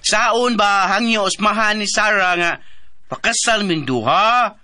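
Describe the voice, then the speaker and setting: An actor playing a childlike, simple-minded young man in a radio drama, blurting naive short phrases in halting, childish speech. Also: sits high, pushed and bright, full character voice. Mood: naive, insistent.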